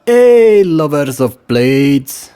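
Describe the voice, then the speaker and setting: Guy doing a slick frankish sword seller, high coaxing voice, salesy. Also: big pitch swings, extremely slow, warm tone, smooth, exaggerated voice. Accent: West Frankish (put on). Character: sly male weapons trader, smooth-talking.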